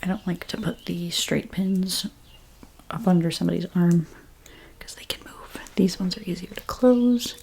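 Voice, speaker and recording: A woman doing a soft-spoken ASMR role play as a dressmaker, gentle and unhurried, her breathy voice often slipping into a whisper. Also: fairly low pitch, a little hiss or hum. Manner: gentle, unhurried, reassuring explanations to a client. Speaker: ASMR creator role-playing a dressmaker at a fitting.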